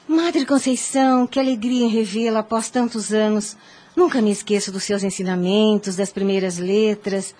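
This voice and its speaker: A woman's voice: medium pitch, fairly even intonation, normal speed, dark tone, lightly acted. An actress playing an anguished, devout mother in a radio soap opera, speaking in a formal, pleading and emphatic way. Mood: respectful, troubled. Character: anguished, devout mother pleading to protect her young daughter.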